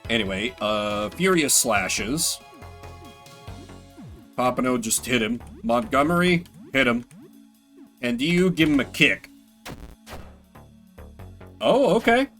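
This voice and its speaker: A male streamer with a bright, lively voice that moves around a lot in pitch. He gives casual, slangy game commentary and reads on-screen text aloud expressively. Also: slow, long pauses, soft background music.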